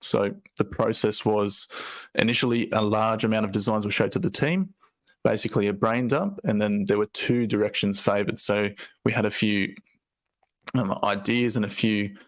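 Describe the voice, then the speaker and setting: Croaky low-voiced man presenting. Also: normal speed. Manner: loose, rambling, with fillers and restarts. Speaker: co-founder presenting update. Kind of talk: casual presentation.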